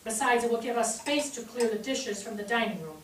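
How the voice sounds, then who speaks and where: A woman playing a brisk, motherly middle-aged daughter-in-law managing the household in a staged play reading. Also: fairly high pitch, lightly acted. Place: theatre stage, recorded from afar. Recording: distant noisy microphone in echoey theatre.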